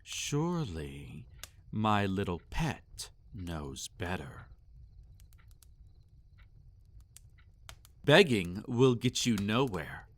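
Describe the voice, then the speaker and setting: A man playing a sadistic, possessive vampire master in a roleplay audio, speaking formally and condescendingly with silky menace and drawn-out commands. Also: on the low side, big pitch swings, exaggerated voice. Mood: cold, threatening. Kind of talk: roleplay audio acting, intimate and theatrical.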